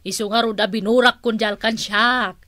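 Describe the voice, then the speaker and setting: A woman's voice: fairly high pitch, fairly even intonation, moderate pace, bright, forceful tone, no room sound. A woman playing a playful, theatrical auntie in a comic radio drama. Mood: businesslike.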